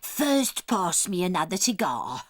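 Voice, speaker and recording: An actress playing a doting, gravely dramatic old Norwegian grandmother in an audiobook drama, telling things with theatrical emphasis. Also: fairly low pitch, big pitch swings, no room sound, studio quality. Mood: grave, conspiratorial. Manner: theatrical, emphatic, slow and deliberate storytelling.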